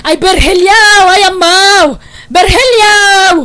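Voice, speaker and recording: Woman acting a high-pitched, scoffing Ilocano wife. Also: fairly even intonation, slow, over music. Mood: loud, exasperated. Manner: exclaiming, scoffing. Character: loud, dismissive wife planning to fix up her house. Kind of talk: Ilocano comedy radio drama acting.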